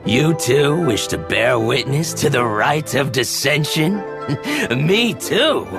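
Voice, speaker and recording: A man's voice: medium pitch, very animated, takes it slowly, vocal fry, heavily acted. An actor playing a genial, shrewd businessman in a video game, with a polished, expansive, slightly pompous manner. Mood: eager, knowing. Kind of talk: video game character acting. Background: game music.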